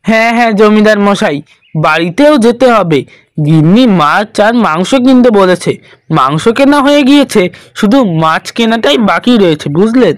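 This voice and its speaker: Give me a humble eager village father, played by a man, high and dry. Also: lively intonation, moderate pace, dry recording. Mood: deferential. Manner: deferential, eager.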